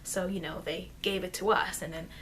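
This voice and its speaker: A woman playing a chatty, informal immortal vampire teacher in an ASMR roleplay, rambling hesitantly and confidingly, with soft dips into whispering. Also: fairly low pitch, steady tone, brisk, lightly acted. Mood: chatty, earnest. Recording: noisy amateur microphone.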